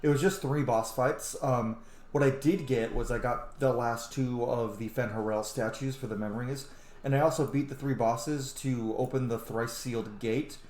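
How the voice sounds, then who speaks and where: A man's voice: medium pitch, steady tone, moderate pace, a little mellow. Casual gamer man in an echoey room. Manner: informal, sweary. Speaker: gaming channel host. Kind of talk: casual let's play commentary. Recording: roomy echo, game music behind. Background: video game music.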